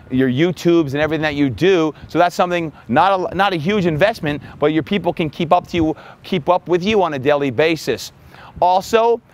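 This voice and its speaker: A man in his forties, a fitness coach, giving energetic marketing tips to the camera outdoors on a lapel mic. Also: fairly high pitch. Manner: energetic, upbeat, talks with his hands. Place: outdoors by a building in sunshine.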